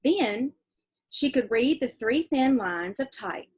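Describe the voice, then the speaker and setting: A woman reads a storybook aloud in the manner of a teacher. Her voice sits fairly high and moves up and down in lively fashion, with a husky edge. She is expressive and explanatory, turning to her young listeners as she goes. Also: slow pace. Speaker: teacher reading a book aloud to pupils. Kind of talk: read-aloud storytelling with asides to children.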